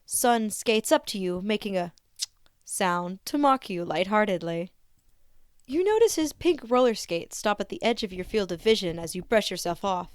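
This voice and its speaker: A woman reading fan fiction aloud as an expressive narrator. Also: mid-range voice, normal speed, no echo, clean studio sound.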